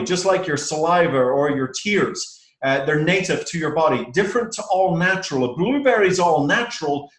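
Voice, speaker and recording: Irish man, rehearsed sales pitch, persuasive and upbeat, higher voice, echoey conference call audio. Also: fairly bright tone. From Ireland. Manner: upbeat and persuasive, with frequent "uh" and "okay?".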